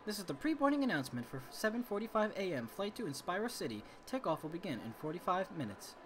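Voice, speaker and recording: A man's voice: sits high, big pitch swings, quick pace, a bit bright, a little hiss or hum. A man voicing a courteous, formal airline announcer, reading scripted announcements from a visual novel over music. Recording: game music behind the voice.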